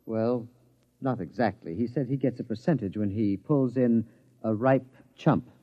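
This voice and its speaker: An actor playing an earnest, bookish scientist with formal, precise diction in an old-time radio comedy. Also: male voice, mid-range voice, moderate pace, lightly acted. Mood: dejected, bewildered. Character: earnest, bookish male scientist, baffled but stubbornly enthusiastic gambler. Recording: muffled vintage radio recording.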